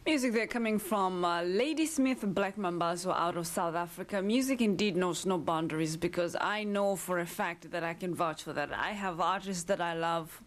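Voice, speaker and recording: A woman hosting a community radio music show, talking in a relaxed, chatty way with frequent fillers. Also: on the low side, normal speed, few pauses. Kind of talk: casual radio presenting.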